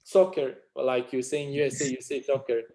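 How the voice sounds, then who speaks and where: Serbian-accented man, halting English. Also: medium pitch, flat delivery, mellow. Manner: halting non-native English, frequent restarts and fillers. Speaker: sports agency owner. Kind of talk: casual interview chat.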